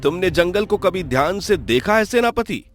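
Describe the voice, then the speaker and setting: A man voices a principled young prince in a cartoon tale. He declaims earnestly and with calm confidence, with soft music underneath. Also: high-pitched, normal speed, voice pushed up. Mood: resolute. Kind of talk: Hindi cartoon moral-story voice acting.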